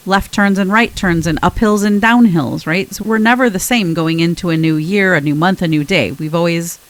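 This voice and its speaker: A woman's voice: on the low side, moderate pace. A woman co-hosting a conversational personal-growth podcast, speaking in a reassuring, emphatic way and drawing out key words. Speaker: podcast co-host.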